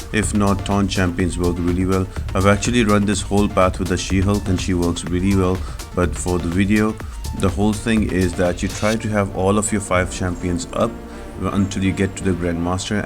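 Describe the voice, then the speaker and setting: Deep voiced guy doing a game guide, explaining stuff like a tutorial, music pretty loud behind him. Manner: explanatory, instructional. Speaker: gaming video host. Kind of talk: game walkthrough commentary.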